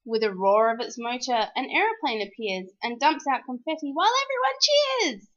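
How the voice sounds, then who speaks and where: A woman reading a rhyming children's storybook aloud in an expressive, animated, sing-song way. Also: high voice, normal speed, muffled recording.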